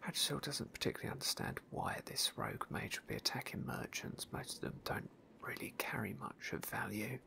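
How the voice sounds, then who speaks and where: A man whispering ASMR narration of a video game playthrough in a soft, unhurried, genteel way. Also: on the low side, sing-song, gravelly.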